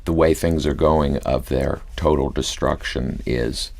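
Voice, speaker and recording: A man reflecting somberly and haltingly in a panel discussion. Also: extremely low pitch, fairly even intonation, gravelly, darkish tone.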